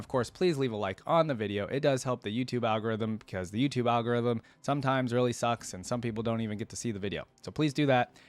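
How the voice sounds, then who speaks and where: A man's voice: medium pitch, no echo. A man giving casual, unscripted commentary as a reaction channel host, rambling and thinking aloud as he goes.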